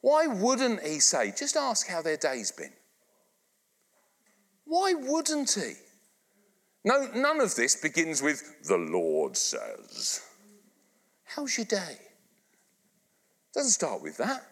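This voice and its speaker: A man's voice: high voice, slow, long pauses. A middle-aged English man preaching a sermon to a live congregation, speaking conversationally and coaxing the listeners to repeat phrases back. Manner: animated, conversational, asks the congregation to repeat lines. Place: church hall, live congregation. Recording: long hall reverb.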